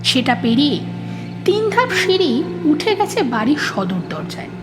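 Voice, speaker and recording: A woman narrator reading a scripted horror story in an expressive, suspenseful, dramatic storytelling manner. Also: high voice, unhurried, loud music behind the voice.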